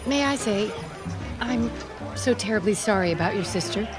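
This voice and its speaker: A woman's voice: mid-range voice, lively intonation, normal speed, music in the background, lightly acted. An actress playing a detective's poised, teasing female associate in a radio drama, with a wry, friendly manner. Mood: sociable, sincere.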